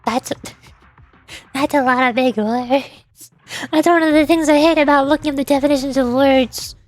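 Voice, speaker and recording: High-voiced, playful woman streaming a game. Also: fairly even intonation, moderate pace, faint music behind. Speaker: VTuber streamer. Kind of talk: casual game streaming chat, reading text aloud.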